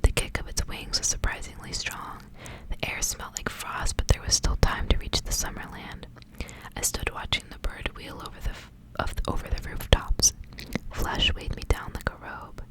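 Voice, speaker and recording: A woman's voice: normal speed, rough recording. Whispering woman reading a story. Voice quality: breathy, unvoiced whisper. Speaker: ASMR reader.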